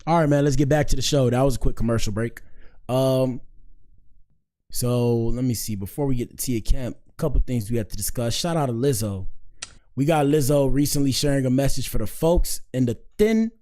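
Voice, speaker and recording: A man hosts a casual livestream, reacting and commenting as he goes. His talk is slangy and peppered with swearing. He repeats himself emphatically to drive a point home, at an ordinary mid-range pitch. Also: brisk, dark tone. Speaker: livestream show host.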